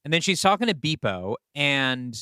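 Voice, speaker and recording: A man co-hosting a podcast, talking through story ideas casually and reasoning them out in a measured way. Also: mid-range voice, sing-song, pushed and bright, smooth, no echo, clean studio sound. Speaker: podcast co-host and story writer. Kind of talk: casual brainstorming conversation.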